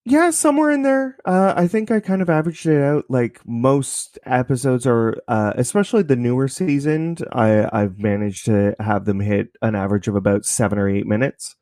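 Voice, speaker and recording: A man's voice: medium pitch, lively intonation, normal speed, hardly any pauses, a little mellow, no room sound, studio quality. A man chatting as an interview guest, explaining his creative work in a loose, thinking-aloud way. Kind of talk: casual interview chat, explaining.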